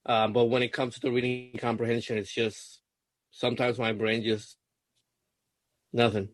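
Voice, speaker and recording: A man's voice: medium pitch, level tone, normal speed, dry recording. A young man from Puerto Rico whose first language is Spanish, talking hesitantly with many fillers on a video coaching call. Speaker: LSAT student being coached.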